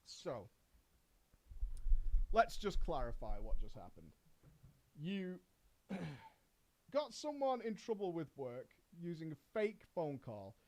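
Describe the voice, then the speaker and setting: A man's voice: on the high side, wildly swooping pitch, slow, pauses often, a little mellow, poor audio. A man streaming, reading a viewer's story aloud and commenting on it sarcastically, swearing and laughing often. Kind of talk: reaction commentary on a read-out story.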